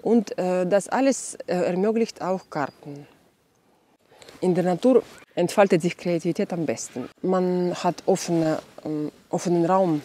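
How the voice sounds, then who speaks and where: A woman's voice: on the low side, a little slow, warm tone, a little hiss or hum. A young woman from Ukraine, sitting in an outdoor garden and thoughtfully explaining the garden's creative projects, with many fillers. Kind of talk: documentary interview.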